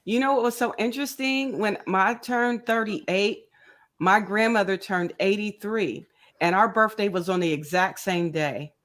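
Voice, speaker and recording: Slow-talking woman in her fifties. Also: mid-range voice, a bit bright. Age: 50s. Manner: chatty, greets viewers by name, laughs often. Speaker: crochet channel host. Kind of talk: casual livestream chat.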